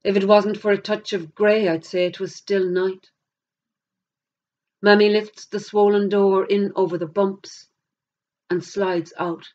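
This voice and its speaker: Woman, middle-aged, Irish accent, poetry reading, mid-pitched voice, measured delivery, slightly muffled lo-fi camera audio. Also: level tone, a little mellow. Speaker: poet. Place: plain indoor room against a bare wall. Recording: dull lo-fi camera sound.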